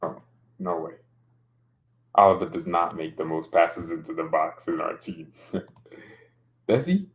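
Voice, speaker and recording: A man's voice: sits low, animated, unhurried, pauses often. A young man casually streaming about football, joking around and reading out chat comments. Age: young adult.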